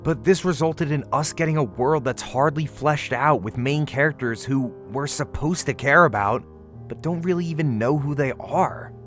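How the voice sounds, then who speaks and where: A man's voice: a little high, moderate pace, fairly bright tone. A man giving a casual, opinionated video essay commentary in an informal, sarcastic manner, with some casual swearing. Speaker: video reviewer and host. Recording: music behind the voice. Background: background music.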